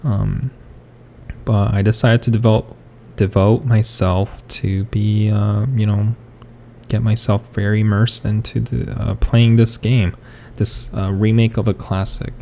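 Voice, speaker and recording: Young man from South Korea, Korean native speaker, for a rambling speed-paint voiceover: unhurried, with a mellow, darker tone. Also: lowish voice, little pitch movement, gravelly, a little hiss or hum. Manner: hesitant, full of fillers and restarts. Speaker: artist.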